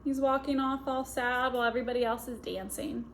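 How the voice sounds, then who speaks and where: A woman's voice: on the high side, fairly even intonation, fairly quick, slight background noise. A woman reading a children's picture book aloud as a teacher, expressively and with simple asides to young listeners.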